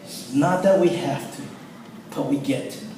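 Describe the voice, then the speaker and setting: A pastor from the Philippines, excited and emotional, introducing a guest at a church launch. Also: male voice, medium pitch, unhurried, slight background noise. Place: large church hall. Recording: echoey hall, distant mic.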